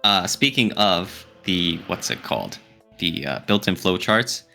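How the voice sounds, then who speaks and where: A man's voice: low voice, lively intonation, a little slow, vocal fry, bright, forceful tone, soft background music. A man co-hosting a casual podcast discussion, speaking in an opinionated, emphatic way. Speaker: podcast co-host.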